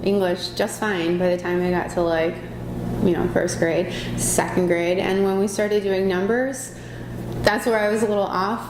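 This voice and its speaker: A woman's voice: medium pitch, fairly even intonation, moderate pace. A woman in her twenties from Chicago, talking in a casual vlog. She is chatty and earnest, with many ums and self-corrections. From Chicago, USA. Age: 20s. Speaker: vlogger. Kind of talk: casual personal vlog to camera. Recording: echoey room with clear background noise.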